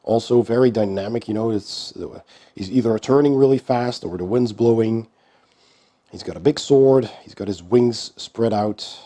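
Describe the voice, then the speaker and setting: A hoarse man with a cold hosts an unboxing in a casual, unhurried way. He talks in a low-key manner with many pauses. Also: mellow. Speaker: board game channel host.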